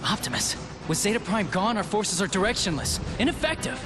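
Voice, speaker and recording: Bumblebee, an eager high-voiced young robot. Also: male voice, lively intonation, a little fast. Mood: urgent. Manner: urgent, earnest. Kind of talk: video game cutscene acting. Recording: game music behind.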